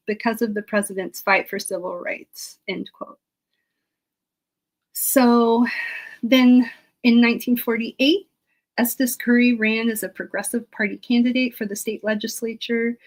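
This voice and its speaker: American lady historian walking thru her research, kinda higher voice, informal presentation. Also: rough recording. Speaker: public historian, guest presenter.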